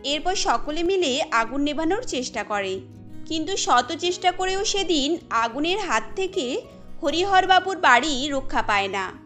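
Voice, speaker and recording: A woman narrating a cartoon story in a steady storytelling manner. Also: sits high, unhurried, soft background music.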